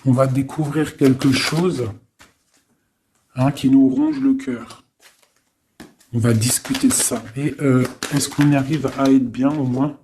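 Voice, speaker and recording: A man giving a casual, improvised tarot reading, thinking aloud in an informal, halting way with frequent little tag questions. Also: medium pitch, noisy background. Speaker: tarot card reader.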